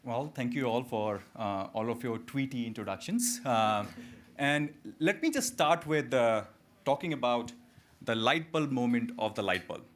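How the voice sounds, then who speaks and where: A man's voice: medium pitch, animated, unhurried, slight background noise. A man moderating a panel discussion in an informal, conversational way.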